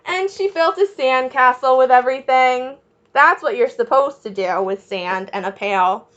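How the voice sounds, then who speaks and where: Woman, high-pitched, bright forceful tone, children's read-aloud teacher, sing-song, encouraging, quizzing listeners. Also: normal speed, muffled recording. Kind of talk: picture-book read-aloud with questions to young listeners.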